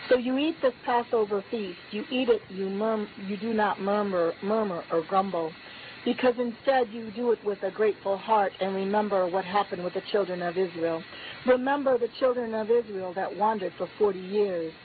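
A woman's voice: mid-range voice, moderate pace. Woman prophesying over a tinny line. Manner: solemn, repetitive declaring cadence, lifting on key phrases. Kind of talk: prophetic utterance spoken as God. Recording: tinny phone-like line with faint hiss.

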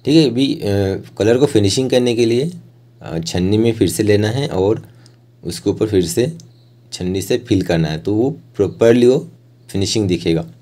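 A man with an Indian accent narrating a step-by-step art tutorial informally, in short phrases with hesitant pauses. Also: lowish voice, mellow.

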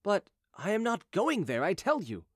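Man voicing a courteous, high-pitched, evasive gentleman. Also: huge pitch swings, moderate pace, breathy edge, no echo, studio quality, full character voice. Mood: polite insistence. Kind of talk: audiobook character dialogue.

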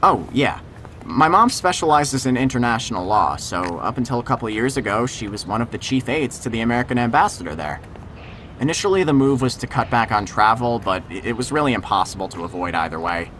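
A man's voice: mid-range voice, expressive, fairly quick, fairly bright tone, slight background noise, lightly acted. A man playing an easygoing, protective teenage boy in an audio drama, casual and conversational. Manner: casual, hesitant, reassuring. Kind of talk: naturalistic audio drama acting.

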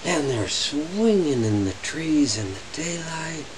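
A man reading a children's picture book aloud with dramatic, drawn-out phrasing. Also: fairly high pitch, lively intonation, crowd noise. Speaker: storybook reader. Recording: hissy, noisy home recording.

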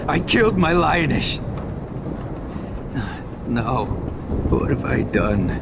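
An actor in a video game playing a rough, grieving drug dealer in his thirties, with an American accent and a gravelly, halting, emotional delivery. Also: background noise. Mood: distraught, guilty. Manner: halting, emotional, coarse-mouthed. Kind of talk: dramatic video game acting. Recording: rumbling storm ambience behind the voice.

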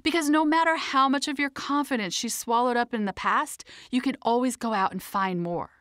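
A woman's voice: fairly high pitch, moderate pace, a bit bright, no room sound, clean studio sound. A woman reading her own self-help audiobook in a conversational, emphatic and playfully informal way.

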